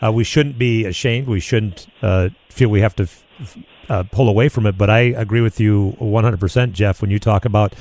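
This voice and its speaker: A man's voice: fairly low pitch, a little fast, a little mellow. A man with an American accent, hosting a conversational radio interview in a friendly, appreciative manner. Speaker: talk radio host.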